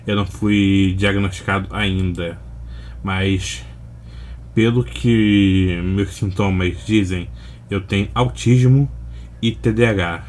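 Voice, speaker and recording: Low-pitched Brazilian man reacting casually. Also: fairly even intonation, a little mellow, a little hiss or hum. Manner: halting, slangy. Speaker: reaction video host.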